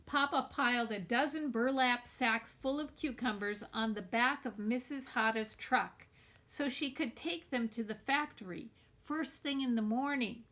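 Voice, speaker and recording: A woman reading a children's novel aloud in a deliberate, expressive storytelling manner, like a teacher with a class book. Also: on the high side.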